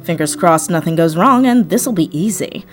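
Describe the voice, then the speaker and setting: A woman's voice: on the low side, lively intonation, normal speed, soft background music, close to an everyday voice. A woman playing a confident hacker in an audio drama, speaking casually with assured, wry delivery. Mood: confident, businesslike. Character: confident, wry female hacker on a heist crew.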